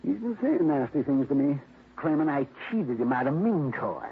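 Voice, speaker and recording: A fussy, excitable dog owner in a 1950s radio drama. His voice sits high and leaps all over. It comes through a thin, phone-like broadcast with faint hiss. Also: normal speed, vocal fry. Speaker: dog owner reporting a theft.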